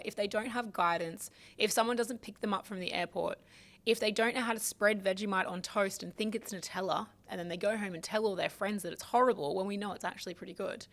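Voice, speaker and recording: A woman hosting a lawyer's channel, giving numbered tips in a clear, measured talk to camera. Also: medium pitch. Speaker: migration lawyer, show host.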